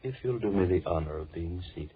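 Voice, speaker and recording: An actor playing a lonely, sinister recluse with formal, overly polite, measured speech in an old radio horror drama. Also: male voice, sits low, animated. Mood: courteous, welcoming. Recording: muffled, noisy old radio recording.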